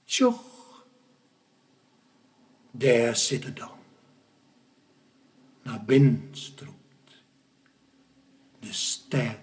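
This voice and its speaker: Man reciting poetry very slowly. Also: mid-range voice. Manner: slow, declamatory delivery with deliberate pauses.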